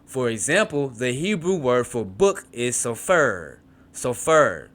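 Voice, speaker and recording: Male teacher, lively pitch swings, reads a textbook then explains in his own words, repeats words to drill them. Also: takes it slowly. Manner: repeats words to model pronunciation, frequent restarts and asides. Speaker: Hebrew teacher. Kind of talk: reading a textbook aloud and explaining.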